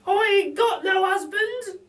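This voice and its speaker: A man voicing a chatty, excitable working-class lady burglar with exclaiming, colloquial speech in a children's storybook. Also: very high voice, slow pace, pitched above the performer's own voice, full character voice. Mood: tearful. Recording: distant microphone.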